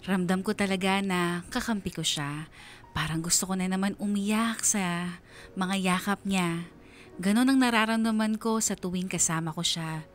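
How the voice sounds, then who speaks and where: A woman's voice: mid-range voice, moderate pace, lightly acted. A woman playing a young Filipino letter writer, narrating earnestly and reflectively in a sentimental radio drama. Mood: wistful, reflective. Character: young woman confiding her troubles by letter.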